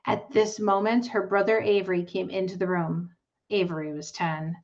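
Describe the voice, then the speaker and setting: A woman narrates a children's book with deliberate, careful phrasing. Her pitch is medium, and the lo-fi recording sounds slightly muffled. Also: level tone, a little mellow. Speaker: storybook reader. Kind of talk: scripted children's story narration.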